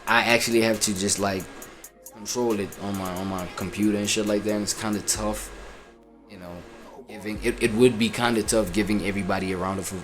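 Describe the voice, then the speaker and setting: A man's voice: lowish voice, steady tone, fairly quick, faint music behind. A man streaming and reacting to clips, talking casually and rambling with lots of fillers. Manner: laid-back, rambling with constant fillers.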